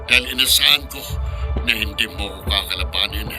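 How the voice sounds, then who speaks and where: A man's voice: lowish voice, fairly quick, pushed and bright, faint music behind. An actor with a Filipino accent playing a vengeful, threatening male winged night monster in a radio horror drama. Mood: menacing. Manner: threatening, accusing, declamatory.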